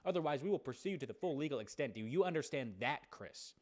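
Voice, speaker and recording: Man acting as stern legal heavy, American accent, officious, pressing, repetitive, prank call, middle pitch. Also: animated, fast, close to an everyday voice. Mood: indignant, threatening. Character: stern, bullying adult threatening a kid with lawsuit.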